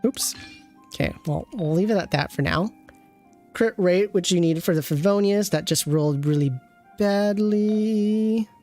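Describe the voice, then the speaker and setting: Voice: mid-range voice, unhurried, mellow, soft background music. A game streamer reviewing an account with casual commentary, thinking aloud and often trailing off mid-thought.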